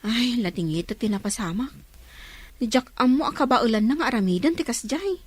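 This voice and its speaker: A woman's voice: a little high, lively intonation, fairly quick, no echo. An actress playing a concerned, tattling neighbor woman in a radio drama. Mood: confiding.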